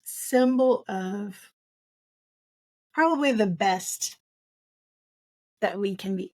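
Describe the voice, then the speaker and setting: Female co-host, conversational and opinionated, medium pitch, dry studio-like sound. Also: very slow, no echo. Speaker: book podcast co-host. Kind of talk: casual book discussion.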